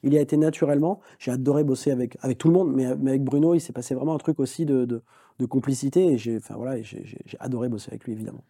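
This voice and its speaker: A man, a film director, talking in a long interview, quick and animated, with many restarts and fillers. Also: mid-range voice, breathy edge. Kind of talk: long-form conversational interview.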